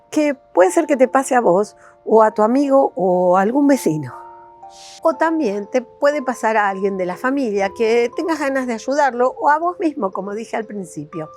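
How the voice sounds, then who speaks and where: Argentine lady from buenos aires doing casual vlog chat, super lively up-and-down voice, bit of music. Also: a little high. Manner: animated, rambling, addresses the viewer directly with questions. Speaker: life coach and video host.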